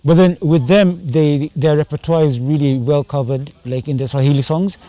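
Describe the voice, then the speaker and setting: A man's voice: fairly high pitch, fairly even intonation, moderate pace, mellow, no room sound. A man chatting casually in an interview, rambling and slipping into Swahili slang. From Kenya. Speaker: band singer and drummer.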